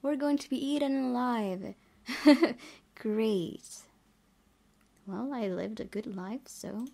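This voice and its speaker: A woman's voice: fairly high pitch, slow, creaky. A woman reading a game's story aloud and adding casual commentary as she plays. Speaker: gaming video creator. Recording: loud background noise, game ambience.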